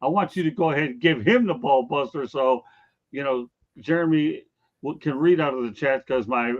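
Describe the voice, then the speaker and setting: A man hosts a loose sports talk stream in a mid-pitched voice. He is blunt and teasing, talking straight at people like old pals. The audio is a bit dull and lo-fi. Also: moderate pace. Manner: blunt, teasing, addresses chat viewers by name. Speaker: sports talk show host. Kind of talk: casual sports chat and ranting.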